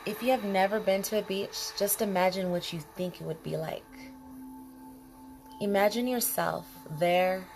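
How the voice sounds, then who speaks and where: A woman leading a guided body scan meditation in a calm, soothing manner, speaking in short, unhurried phrases with pauses. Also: mid-range voice, creaky. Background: music behind the voice.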